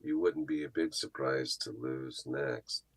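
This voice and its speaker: A man's voice: a bass voice, steady tone, unhurried, breathy edge, dry recording. A Midwestern American man co-hosting a morning show over a video call from home, laid-back and joking as he brushes off teasing. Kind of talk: casual chat.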